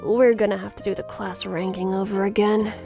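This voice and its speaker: A woman's voice: mid-range voice, level tone, fairly quick, creaky, dark tone, close to an everyday voice. A woman voicing a boastful schoolteacher with a pointed, self-satisfied manner in a comic dub. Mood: cold. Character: boastful female schoolteacher turned cold and accusing. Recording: music bed behind the voice.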